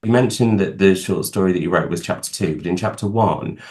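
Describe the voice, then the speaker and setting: Deep-voiced man hosting a book channel. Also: brisk, a little mellow. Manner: gushing, long rambling questions. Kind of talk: enthusiastic interview questions.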